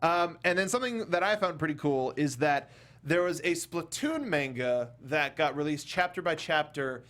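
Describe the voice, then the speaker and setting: Higher-voiced man hosting a casual panel show. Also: expressive, normal speed, a bit bright. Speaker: talk show host. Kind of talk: casual panel chat reviewing films.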